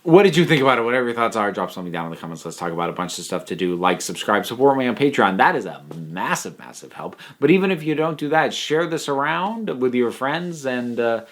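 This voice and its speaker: Guy doing a casual opinionated review to viewers, mid pitched voice, stops and starts while he thinks out loud. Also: big pitch swings, fast talker, few pauses. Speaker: video reviewer and channel host.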